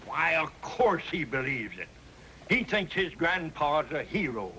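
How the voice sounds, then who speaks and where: An actor playing a boastful, excitable old prospector grandfather with a folksy American drawl in a 1950s television western. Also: fairly high pitch, unhurried, slight background noise, heavily acted, low-quality recording. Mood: defensive. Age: older. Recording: old TV soundtrack with background music.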